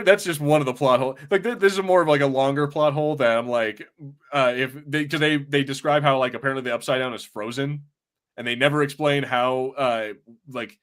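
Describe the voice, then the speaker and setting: Guy on a podcast, average pitch, rambly and halting, says like constantly, casual chat. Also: fairly bright tone. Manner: rambling, halting, heavy use of "like". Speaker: podcast co-host. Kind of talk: casual pop culture podcast discussion.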